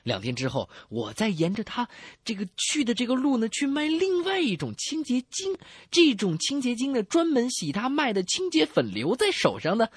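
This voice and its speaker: A man's voice: very high-pitched, huge pitch swings, moderate pace, slight background noise. A man co-hosting a comedy radio show, playfully acting out jokes. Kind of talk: joke-telling banter.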